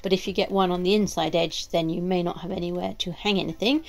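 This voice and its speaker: A woman vlogger talking casually to camera, practical and chatty, pausing often with "uh". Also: on the low side, steady tone, creaky. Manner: chatty and practical, with many "uh" pauses. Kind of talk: casual vlog narration. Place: campsite shower block, indoors.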